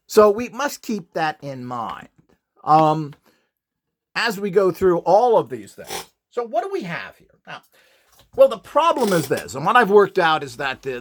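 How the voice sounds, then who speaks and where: A man's voice: a little high, a bit bright. A man giving an informal explanatory tutorial, rambling and opinionated as he talks. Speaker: instructor and device builder.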